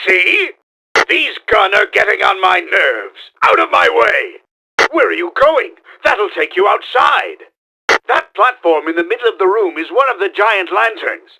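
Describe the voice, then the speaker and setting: A man playing a pompous, scheming middle-aged mad-scientist villain in a video game, with a gravelly, forceful, theatrical delivery. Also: sits high, exaggerated voice, low-quality recording. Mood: irritated, indignant, then bossy, scheming. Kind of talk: exaggerated video game voice acting.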